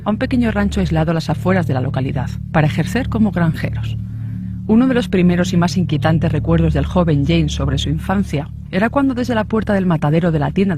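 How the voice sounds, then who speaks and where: A man reading a scripted true-crime narration in the style of a suspense radio story. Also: high voice.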